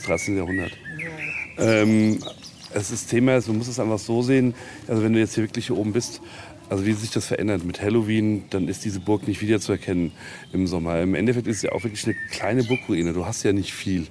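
A man's voice: on the low side, a little mellow. A man talking at length in an informal on-site interview, enthusiastic and rambling as he explains. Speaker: castle tenant and event organizer, interviewee. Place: outdoors at a castle ruin. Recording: outdoor field recording with faint background noise.

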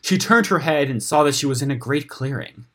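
A man reading a fantasy tale aloud in a dramatic storytelling style, with a husky voice. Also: medium pitch, expressive, brisk, a bit bright. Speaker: story reader on a podcast.

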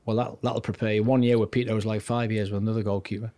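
A man's voice: lowish voice, level tone, quick pace, dark tone, no echo. An older man from north-east England, a guest on a studio podcast, tells long stories in a loose, chatty way. He often restarts his sentences and slips into the voices of people he is quoting. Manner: chatty, rambling, restarts sentences, acts out quotes. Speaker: podcast guest, former goalkeeping coach. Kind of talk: casual anecdotal storytelling.

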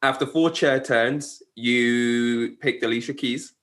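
An interviewer on a video call who asks questions haltingly, with fillers and restarts. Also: medium pitch, steady tone, a bit bright.

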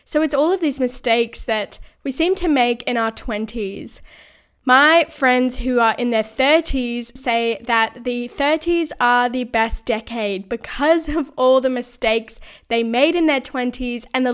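Slightly croaky young woman podcasting. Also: a little high. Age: 20s. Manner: earnest, frequent light laughs. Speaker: podcast host. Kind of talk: casual solo podcast monologue.

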